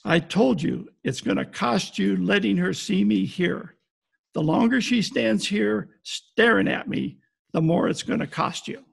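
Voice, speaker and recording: A man playing a cynical, tough-talking private detective in a wry, deadpan 1940s radio style. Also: high voice, a little slow, creaky, slightly rough, exaggerated voice. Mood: cold, hard bargaining. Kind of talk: 1940s detective radio acting, narration and dialogue. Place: home, recorded over video call. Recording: video call recording with faint music behind.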